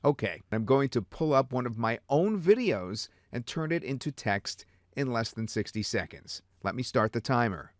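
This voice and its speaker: A man narrating a scripted software demo step by step. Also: mid-range voice, big pitch swings, normal speed, hardly any pauses, dry recording, clean studio sound. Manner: step-by-step, instructive.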